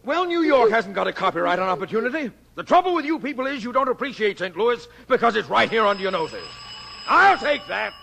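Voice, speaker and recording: An actor playing a blustering, soft-hearted middle-aged father in 1940s radio drama, with an emphatic, theatrical manner. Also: very high voice, very animated, fairly quick, vocal fry, slight background noise, exaggerated voice. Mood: defiant. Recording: old 1940s radio recording.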